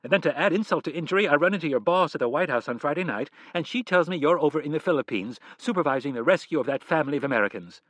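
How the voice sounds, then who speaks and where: A man's voice: medium pitch, lively intonation, a little fast, few pauses, dry recording, lightly acted. A man voicing a fiery young wife who fires off demanding, accusing questions, in an audiobook reading. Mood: angry.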